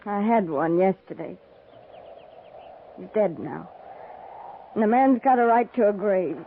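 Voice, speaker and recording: An actress playing a proud, weary, bereaved frontier wife with a bleak, guarded manner in a 1950s radio western. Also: female voice, mid-range voice, measured pace, narrow, tinny audio, close to an everyday voice. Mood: bitter, grieving. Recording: thin, noisy 1950s radio recording.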